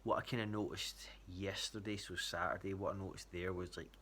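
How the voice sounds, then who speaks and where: A man's voice: low-pitched, steady tone, a little fast, fairly bright tone, a little hiss or hum. A Scottish man giving a casual spoken check-in, talking in a hesitant, rambling way. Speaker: athlete giving a training check-in to a coach.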